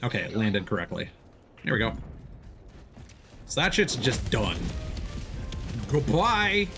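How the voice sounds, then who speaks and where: A man's voice: mid-range voice, huge pitch swings, slow, over music. A man streaming a video game, giving casual live commentary and explaining tactics step by step as he plays. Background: video game sound.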